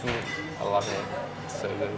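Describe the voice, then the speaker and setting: A young Australian man, a fashion model, answering interview questions in a relaxed, hesitant way with many restarts. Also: fairly low pitch, a little fast. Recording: loud ambient noise behind.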